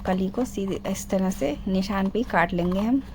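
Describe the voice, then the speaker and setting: A middle-aged woman with a hoarse, sore throat talks through a sewing tutorial step by step, often stopping to clear her throat. She sits at a middling pitch and repeats each instruction carefully. A faint hiss runs under the voice-over. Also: normal speed, mellow. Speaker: sewing channel host.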